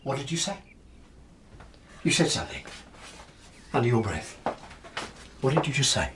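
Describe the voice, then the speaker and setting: A man's voice: medium pitch, normal speed, noisy background, lightly acted. Pompous, volatile English husband, acted by a man. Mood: menacing rage. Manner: pompous, blustering, excitable. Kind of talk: radio drama acting.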